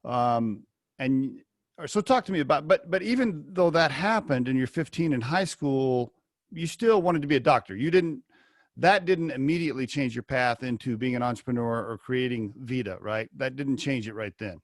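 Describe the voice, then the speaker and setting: A man's voice: mid-range voice, expressive, normal speed, no echo, studio quality. A man hosting a podcast interview over a video call, chatty and asking rambling questions. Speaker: podcast host. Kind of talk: casual interview.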